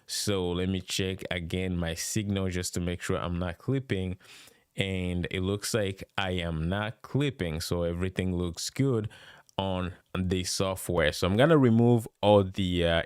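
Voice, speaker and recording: A man with a deep, bassy voice, chatting excitedly with lots of fillers as he reviews microphones on a live stream. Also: clean tone, dry recording, clean studio sound. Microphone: studio dynamic microphone close up.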